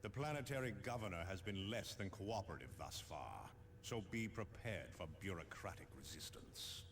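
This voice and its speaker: A deep, grave space marine captain briefs his commander for a game cutscene. His delivery is solemn and commanding, swelling and dropping dramatically, with clear noise behind him. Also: lively intonation, normal speed, a bit bright. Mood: stern, commanding. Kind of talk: dramatic scripted military briefing.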